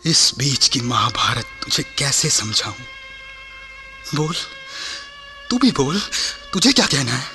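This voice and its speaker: An actor playing a proud, loyal Sikh army officer in a Hindi radio play, declaiming with passion. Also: male voice, medium pitch, sing-song, slow pace, long pauses, pushed and bright, in a large hall, soft background music, heavily acted. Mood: bitter, tender. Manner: impassioned, declamatory, rhetorical questions.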